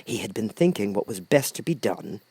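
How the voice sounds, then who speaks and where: A man reading a children's storybook aloud as an expressive audiobook narrator. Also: fairly low pitch, a little fast, gravelly, breathy edge, dry recording.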